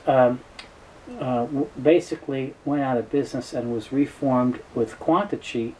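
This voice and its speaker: A man with a soft-edged, mellow voice at a middle pitch talks through an informal product overview. He is knowledgeable and matter-of-fact, feeling his way haltingly through unscripted explanations. Faint noise sits in the recording. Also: poor audio. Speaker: tape dealer presenting a product overview.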